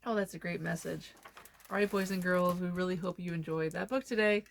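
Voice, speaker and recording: A woman reading a storybook aloud to children in an expressive manner. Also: fairly low pitch, brisk, gravelly, slight background noise. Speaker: read-aloud presenter for children.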